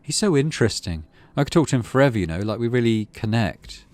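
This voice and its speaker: A restless teenage boy colonist from a video game, read out by a man, earnest and wistful. He complains with animated, swinging intonation, dreamy about what lies outside. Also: mid-range voice, fast talker, dry recording, lightly acted.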